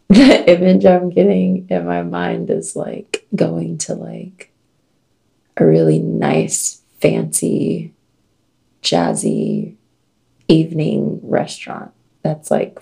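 Woman, low and very gravelly, husky and mellow, unhurried card reading in casual chat. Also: very animated. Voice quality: scratchy, deep, gravelly voice. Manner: slow, dreamy reading with soft laughs.

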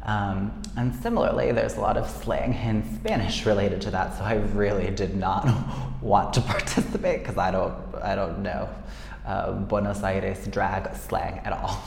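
A man's voice: on the low side, measured pace, creaky. A man hosting a casual solo podcast, chatty and self-deprecating, often laughing. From United States. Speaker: podcast host. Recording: echoey room with long reverb and faint noise.

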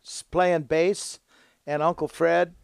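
A man's voice: fairly high pitch, little pitch movement, slow pace, creaky, smooth, dry recording, clean studio sound. A man in his seventies, a musician casually recalling his younger days in an interview. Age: 70s. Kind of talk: casual reminiscing.

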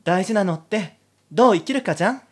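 A man's voice: high voice, expressive, fast. A man voicing a chatty young gal heroine with slangy, casual speech in an amateur radio drama. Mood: chatty, eager.

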